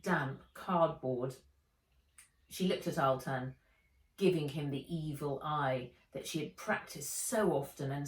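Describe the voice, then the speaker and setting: A woman author reading a children's novel aloud in an audiobook narration style. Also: on the low side. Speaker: author reading their own book. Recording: roomy echo, slightly distant mic.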